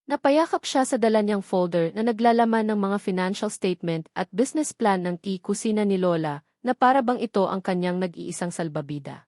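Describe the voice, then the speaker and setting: A woman's voice: medium pitch, a little fast, darkish tone, no room sound, studio quality. A woman reading scripted dramatic story narration.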